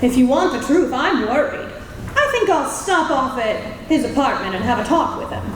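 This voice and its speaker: Woman acting a high-voiced, determined lady reporter. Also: very animated, moderate pace. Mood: concerned. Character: worried, determined female newspaper reporter. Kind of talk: 1940s radio serial acting performed live. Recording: echoey hall, faint noise.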